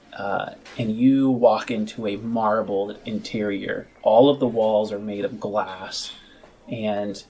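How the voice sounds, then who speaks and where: A man narrating a tabletop roleplaying game as game master, improvising in halting, measured phrases. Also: lowish voice, darkish tone.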